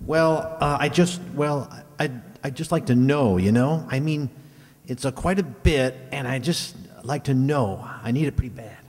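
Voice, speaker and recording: A man playing a nervous, halting contestant in an old-time radio game show. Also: mid-range voice, a little mellow, natural voice. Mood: nervous, pleading. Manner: hesitant, halting. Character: nervous, hesitant man pleading with a friend. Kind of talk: 1950s radio acting. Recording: reverberant hall.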